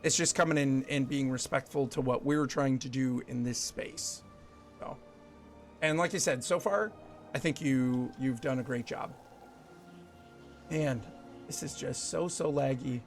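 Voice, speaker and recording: A man's voice: mid-range voice, faint music behind. A man in his forties live-streaming a game, chatting casually with viewers and laughing often. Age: 40s. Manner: chatty, rambling, quick to laugh. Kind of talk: casual live-stream chat while gaming.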